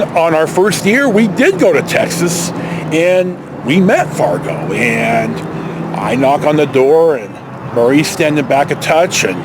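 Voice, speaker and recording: High-pitched American man telling personal stories. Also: noisy background. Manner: rambling, reflective, halting. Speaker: storyteller vlogger. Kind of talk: casual personal storytelling.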